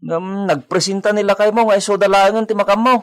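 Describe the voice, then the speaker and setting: A man plays a village henchman in a radio drama. His voice is high for a man, and he sounds worried, cautious and reluctantly obedient. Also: steady tone, fast. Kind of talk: Ilocano radio drama acting.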